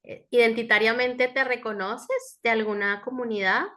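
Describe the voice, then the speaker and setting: Woman host, higher voice, affirming. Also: steady tone, moderate pace. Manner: warm, affirming, asks questions with tag "¿no?". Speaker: interview host. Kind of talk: interview hosting.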